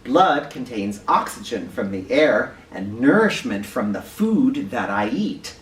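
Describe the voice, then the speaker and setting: Guy reading and explaining stuff to kids, really lively pitch, bit far from the mic in an echoey room. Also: a little slow. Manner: demonstrative, addresses children directly with questions and emphasis. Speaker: children's read-aloud host and teacher. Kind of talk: educational presentation and picture book read-aloud for children. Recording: roomy, distant mic with faint noise.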